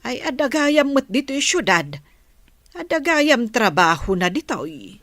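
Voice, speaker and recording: Inquisitive older village mom, Filipino accent, very animated, close dry sound female actor, radio drama. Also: high voice, unhurried, no echo, heavily acted. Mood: chatty. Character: chatty, inquisitive village mother, an older woman.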